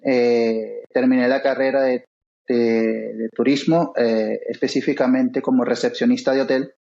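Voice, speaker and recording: Halting man on a lo-fi call. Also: mid-range voice, level tone, gravelly, warm tone. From Venezuela. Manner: halting, many fillers and pauses. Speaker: guest author. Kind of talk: interview conversation.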